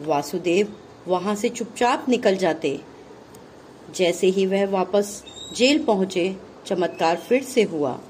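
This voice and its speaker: A woman narrates a children's puppet show from a script. She is very unhurried and deliberate, with a mid-pitched voice and faint noise behind her. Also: a little mellow, low-quality recording. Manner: deliberate, phrase-by-phrase delivery.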